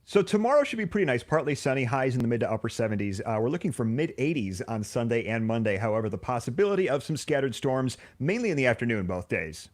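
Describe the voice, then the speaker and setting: Man, radio weather presenter, remote from home, dry quips, lively pitch. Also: quick pace, hardly any pauses. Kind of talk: casual morning radio banter.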